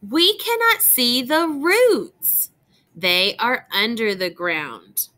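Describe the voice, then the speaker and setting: Slow, expressive woman reading to children. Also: on the high side. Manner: deliberate, expressive phrasing addressed to young children. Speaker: teacher reading aloud to children. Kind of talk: children's picture book read-aloud with questions to listeners.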